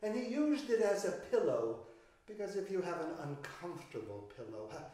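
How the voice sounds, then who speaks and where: A man telling a children's fairy tale as a storyteller, with theatrical, deliberate pauses and emphatic phrasing. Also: high voice, sing-song, dark tone. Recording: echoey room, distant microphone.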